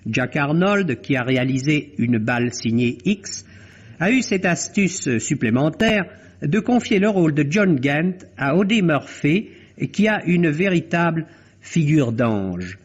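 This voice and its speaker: A man with a slightly high, husky voice delivers a film review like a radio critic. His phrasing is measured, literary and articulate. The sound is a dull, vintage radio recording. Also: big pitch swings.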